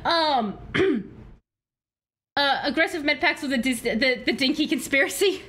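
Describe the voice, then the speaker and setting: A woman streaming a game live, chatty and excitable, answering her chat, her voice slightly hoarse. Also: high-pitched, unhurried. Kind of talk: live gameplay commentary.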